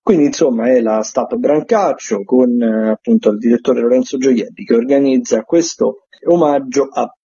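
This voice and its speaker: A man's voice: medium pitch, normal speed, hardly any pauses, warm tone, breathy edge, lo-fi sound. A man giving an informal radio rundown of events as a theatre critic, hesitant and often repeating phrases.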